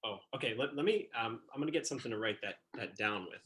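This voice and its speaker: A man's voice: mid-range voice, animated, fast talker, fairly bright tone, lightly acted. A man playing a nervous, eager-to-please young boyfriend in a staged reading, hesitant, stammering and apologetic. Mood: tentative, eager. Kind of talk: staged reading over video call.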